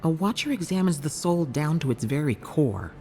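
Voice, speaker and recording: High-voiced, lively clinic healer. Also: male voice, soft background music. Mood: reassuring, advising. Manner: inquisitive, measured, advising. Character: keen, caring clinic healer, intrigued by strange souls. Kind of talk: video game character dialogue.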